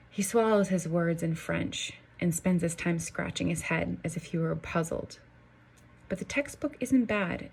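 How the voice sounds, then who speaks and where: Husky, low-voiced woman reading an audiobook. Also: darkish tone. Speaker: audiobook narrator. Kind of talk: first-person novel reading.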